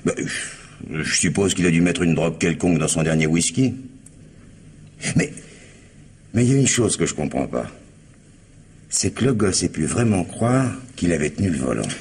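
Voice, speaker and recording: Deep-voiced, gruff streetwise witness. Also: male voice, big pitch swings, moderate pace, long pauses, darkish tone. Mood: puzzled, reflective. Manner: colloquial, slangy, emphatic. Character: gruff male witness with a streetwise, indignant temper. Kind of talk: 1950s-style French radio crime drama acting. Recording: noisy old radio drama recording.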